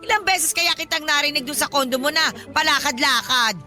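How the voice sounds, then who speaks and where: A woman's voice: high-pitched, a little fast, sharp tone, soft background music. A woman playing a lonely, demanding old godmother who talks in a pushy, coaxing way in a radio drama. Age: older.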